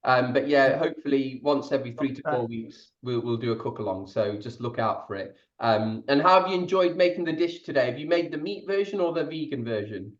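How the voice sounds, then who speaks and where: A man's voice: mid-range voice, expressive, a little fast, hardly any pauses. Male video-call host, polite and chatty, roomy dull audio. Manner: polite, asks guests questions. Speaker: host of an online cook-along. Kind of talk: casual video-call chat.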